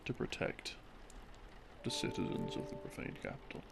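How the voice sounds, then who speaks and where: A man playing an easygoing boyfriend who tells rambling bedtime game lore in a casual, unhurried, conversational way. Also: natural voice. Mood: relaxed storytelling. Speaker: audio roleplay performer. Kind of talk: rambling bedtime storytelling, sleep-aid roleplay audio. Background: background music.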